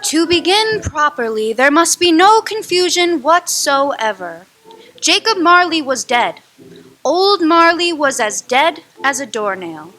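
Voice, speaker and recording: A woman narrating a radio drama in a measured storytelling manner. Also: sits high, sing-song, pushed and bright.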